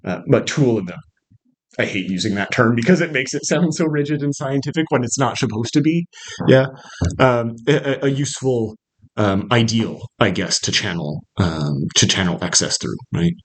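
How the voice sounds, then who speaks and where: A man teaching a small literature seminar, talking hesitantly with lots of fillers and checking in with "right?", his voice a little rough from coming down with something. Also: medium pitch, moderate pace. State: slightly unwell, voice cracking. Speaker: literature instructor. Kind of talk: casual seminar lecture. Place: small classroom.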